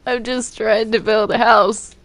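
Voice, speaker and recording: A woman's voice: fairly high pitch, level tone, measured pace, fairly bright tone, slight background noise. A woman chatting casually in character during gameplay, exclamatory and prone to complaining. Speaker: gamer in roleplay video.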